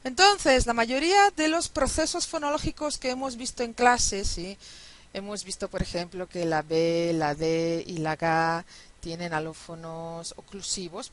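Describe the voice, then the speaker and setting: A woman's voice: on the low side, a little slow. A woman giving a lecture over slides in a didactic way, often checking in with short tag questions. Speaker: university linguistics lecturer.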